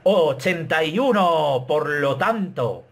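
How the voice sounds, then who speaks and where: A Spanish man in his thirties with a Castilian accent, talking to his webcam in a small bedroom, animated and self-mocking. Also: fairly high pitch, slow, noisy background. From Spain. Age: 30s. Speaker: YouTuber. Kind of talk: casual vlog to camera.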